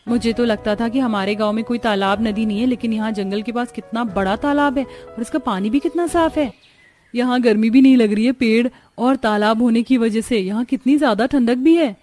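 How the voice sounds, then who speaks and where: A woman playing a young village daughter-in-law in a cartoon story, expressive and chatty. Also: on the high side, brisk, faint music behind, close to an everyday voice. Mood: earnest, animated. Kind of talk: Hindi cartoon story dialogue.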